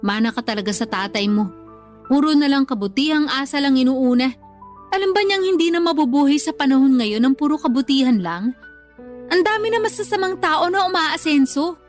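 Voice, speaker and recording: High-voiced, scolding Filipino mother. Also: lively intonation, brisk, faint music behind. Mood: scornful. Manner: scolding, sarcastic, domineering. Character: harsh, selfish, money-minded mother scolding her grown child. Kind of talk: Tagalog radio drama acting.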